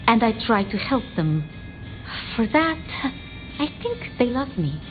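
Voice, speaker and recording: A flustered, devoted vampire scholar in a video game. Her voice is fairly high and very lively in pitch, stumbling and apologizing as it goes. The sound is slightly dulled. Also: narrow, tinny audio, soft background music. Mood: tender, earnest. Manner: stammering, hesitant, halting and apologetic. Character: timid, flustered, bookish female vampire researcher, devoted to her retainers. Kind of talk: video game dramatic dialogue.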